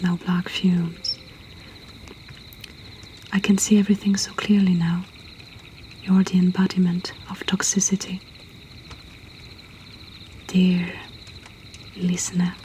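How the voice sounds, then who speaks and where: A woman reading poetry and stories in a breathy whisper, in a soothing, hushed ASMR style. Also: lowish voice, slight background noise. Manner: slow, soothing, hushed delivery. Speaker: poetry reader and host.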